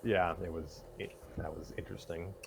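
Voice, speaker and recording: A man's voice: sits low, steady tone, moderate pace, vocal fry, a little hiss or hum. A man hosting a live story reading, switching between scripted narration and casual chat, sometimes stumbling over his lines.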